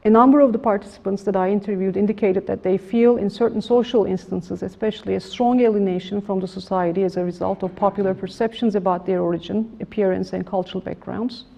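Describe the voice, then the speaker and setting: A middle-aged woman giving a formal academic lecture in a room with a projector, measured and halting with frequent restarts. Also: medium pitch, steady tone, gravelly, dark tone. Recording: slightly dull lo-fi room recording.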